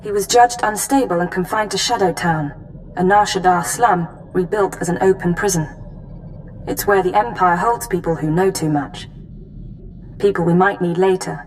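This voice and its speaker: An actress playing a cool, efficient imperial intelligence officer in a video game, giving crisp, formal briefings. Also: female voice, on the low side, fairly even intonation, moderate pace, a little hiss or hum, natural voice, rough recording. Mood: brisk, businesslike.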